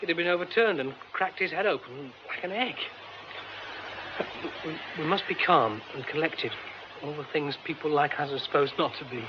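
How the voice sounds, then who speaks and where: A man's voice: on the high side, normal speed, close to an everyday voice. A male actor playing an anxious, well-spoken, polite theatre man in a radio drama, urgent and agitated. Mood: tense, shaken. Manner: urgent, agitated, hesitant when shocked. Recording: dull radio recording with clear noise.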